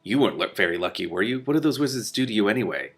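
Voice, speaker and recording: A man reads as a blunt storybook girl who questions everything. The words come fast and direct. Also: lowish voice, animated. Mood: blunt, curious.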